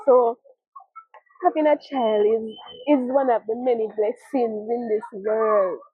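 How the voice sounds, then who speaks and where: A young woman with a Caribbean accent gives an earnest, halting personal testimony as a mother, often repeating her phrases. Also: fairly high pitch, slightly rough, no echo. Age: young adult. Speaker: mother telling her life story. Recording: muffled, narrow phone-like audio.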